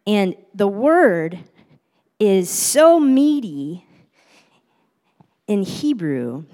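A woman's voice: on the low side, darkish tone. Earnest young woman teaching in a hall. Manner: earnest, reflective, with frequent restarts. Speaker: speaker giving a church talk. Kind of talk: teaching sermon.

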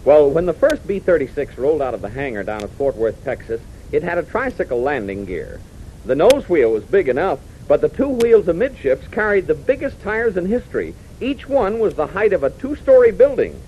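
A man's voice: on the high side, sing-song, fairly quick. An American man announcing and narrating a scripted 1940s radio adventure serial. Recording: muffled, noisy 1940s radio recording.

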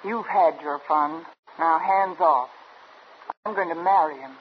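A woman's voice: low voice, unhurried, slight background noise. Casting: witty, ambitious American lady executive, arch and sardonic, 1950s radio drama on muffled old recording. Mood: brisk, firm. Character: proud, witty, ambitious woman advertising executive. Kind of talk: 1950s radio drama acting with first-person narration. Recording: dull old radio recording with loud music.

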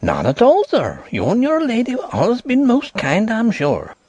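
Old rural housekeeper, placid and superstitious, lilting high voice with a husky edge, put on by a male narrator. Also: huge pitch swings, normal speed, darkish tone, no echo, exaggerated voice. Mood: evasive. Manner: rustic dialect grammar, solemn warnings. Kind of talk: audiobook character voice.

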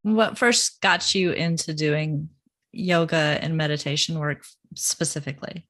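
A woman's voice: low-pitched, a little slow, a bit bright. A woman hosting a podcast, reading an upbeat intro and asking friendly interview questions. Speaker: podcast host.

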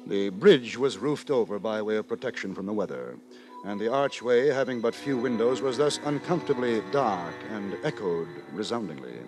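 An actor playing an earnest, long-suffering, moralizing gentleman narrator in a theatrical, formal 1950s radio drama. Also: lowish voice, animated, normal speed, natural voice. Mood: uneasy, fretful. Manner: theatrical, formal, fretful storytelling. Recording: 1950s radio broadcast with music.

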